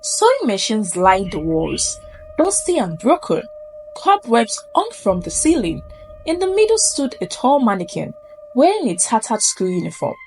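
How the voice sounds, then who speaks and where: A high-voiced Nigerian woman tells a spooky folktale with great theatrical suspense. Her pitch rises and falls dramatically from phrase to phrase. Music plays softly behind her narration. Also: huge pitch swings, moderate pace, poor audio. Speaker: storyteller. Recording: music under the voice. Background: background music.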